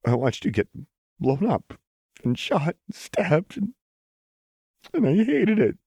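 Guy playing a loving, anxious young soldier woman in an audiobook, higher voice, halting and emotional. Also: big pitch swings, vocal fry, a little mellow, slightly rough, dry recording, studio quality, exaggerated voice. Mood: anguished, upset. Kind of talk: audiobook character acting.

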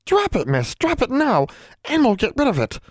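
A man voicing a wizened old female house elf servant, anxious and stern, high-pitched and speaking of herself in the third person. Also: huge pitch swings, a little slow, no room sound, clean studio sound, heavily acted. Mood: urgent, stern.

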